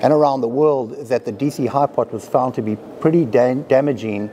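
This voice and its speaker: A middle-aged man who grew up in South Africa, talking about his work at a conference. His voice is dark and mellow. He is relaxed and a little self-deprecating, and he explains technical points step by step. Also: medium pitch, brisk, a little hiss or hum. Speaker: company president, guest. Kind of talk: conference interview. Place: conference hall.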